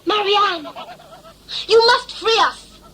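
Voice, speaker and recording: Woman playing high, bright, passionately defiant young woman. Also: takes it slowly, slight background noise, heavily acted. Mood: pleading. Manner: intense, formal, dramatic. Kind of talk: sci-fi audio play acting.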